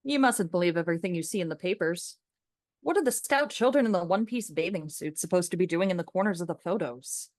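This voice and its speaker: A woman's voice: lowish voice, fast, a little mellow, dry recording, clean studio sound, close to an everyday voice. A woman playing an opinionated, sardonic young man in a radio play, lecturing in an emphatic, mocking way. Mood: sceptical, mocking.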